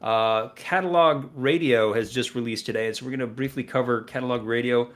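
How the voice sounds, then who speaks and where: A man co-hosting an online music radio show, chatting casually with energy and jokes, often pausing on fillers. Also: mid-range voice. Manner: energetic, joking, lots of fillers. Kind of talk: casual chat.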